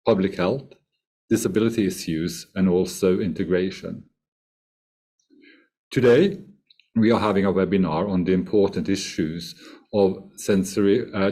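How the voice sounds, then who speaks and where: A man with a lowish, mellow voice opens a webinar as its project manager. His delivery is formal and measured, like a ceremonious welcome address.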